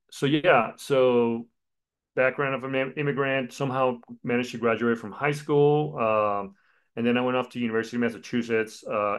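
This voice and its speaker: A man's voice: mid-range voice, fairly bright tone, smooth. A man in his forties who grew up in Colombia, a pastor telling his story in a podcast interview, with many fillers. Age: 40s.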